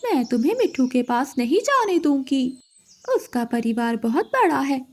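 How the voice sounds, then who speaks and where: A woman voicing a sly, gossiping female crow who goads others in an animated moral story. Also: high-pitched, heavily acted. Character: scheming, gossiping female crow stirring up quarrels.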